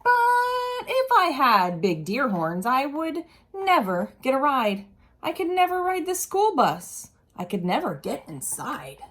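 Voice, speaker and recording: Very animated, slow-paced woman reading a storybook. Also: high voice. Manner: animated, deliberate, asks the listeners questions. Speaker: storyteller reading a children's picture book aloud. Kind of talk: expressive read-aloud with casual asides to young children.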